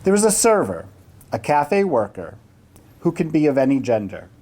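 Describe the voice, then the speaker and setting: An event host introducing and narrating a play reading, with halting, deliberate phrasing. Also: mid-range voice, big pitch swings, mellow. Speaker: event host and stage-direction reader.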